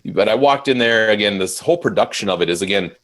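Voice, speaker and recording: A mobile DJ business owner, a man, talks shop in an easygoing live interview. His voice sits fairly low. He gets animated when he retells a story and raises his voice to quote people. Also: fast talker. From Minnesota, USA.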